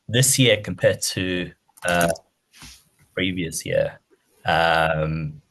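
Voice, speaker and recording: A man judging a poetry prize, speaking with hesitations and filler pauses. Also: low voice, fairly bright tone, a little hiss or hum. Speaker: prize judge. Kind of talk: panel remarks.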